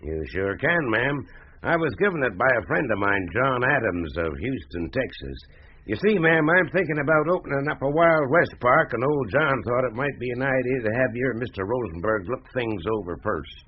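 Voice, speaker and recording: An actor playing a folksy, stubborn Arizona mine owner with an American Southwestern drawl in a radio drama. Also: male voice, medium pitch, animated, normal speed, slight background noise, close to an everyday voice. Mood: folksy, courteous. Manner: drawling, folksy, plain-spoken. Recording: muffled, band-limited radio drama telephone line.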